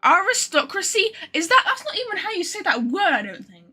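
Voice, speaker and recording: A woman livestreamer chats casually over a game in a high, bright voice. Her delivery is animated and swings widely in pitch, with incredulous asides. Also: brisk. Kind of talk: casual game stream commentary. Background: faint game music.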